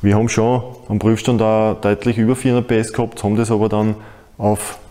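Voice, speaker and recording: A man's voice: lowish voice, level tone, fairly quick, mellow. A man in his forties with an Austrian accent, explaining informally to camera in colloquial German. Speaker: motorsport presenter.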